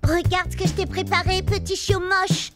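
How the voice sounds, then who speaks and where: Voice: very high voice, little pitch movement, normal speed. A performer voicing a bratty, spoiled little child in a cartoon, whiny and exclaiming. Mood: gleeful. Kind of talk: cartoon voice acting. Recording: cartoon music behind.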